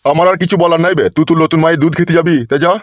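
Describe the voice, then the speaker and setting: A man's voice: on the high side, level tone, rapid-fire, dry recording, faint music behind, lightly acted. A man voicing a crude, blunt old father-in-law who scolds and mocks in a comedy cartoon, with a rural West Bengal accent. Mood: grudging. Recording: dull, very noisy with clicks.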